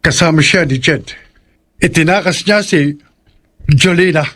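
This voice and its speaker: A man playing an anxious informant in a Filipino radio horror drama, with a husky voice. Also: on the high side, unhurried, fairly bright tone.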